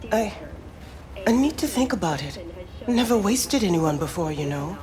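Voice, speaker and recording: A woman's voice: medium pitch, expressive, moderate pace, a crowd in the background, natural voice. An actress playing an uneasy, tentative young woman in a video game. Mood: hesitant. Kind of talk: video game cutscene acting.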